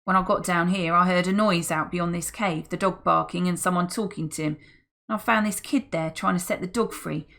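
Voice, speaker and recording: A woman's voice: fairly low pitch, fairly even intonation, a little fast, vocal fry. Woman voicing a menacing armed thug. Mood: gruff. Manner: loud, hard, threatening. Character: menacing armed male criminal, gruff and threatening.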